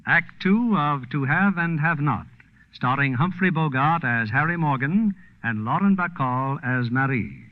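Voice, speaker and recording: A man's voice: a little high, lively intonation, unhurried, a little hiss or hum. A man giving scripted dramatic narration as host of a 1940s radio play. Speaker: show producer and narrator. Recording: tinny 1940s radio recording. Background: music.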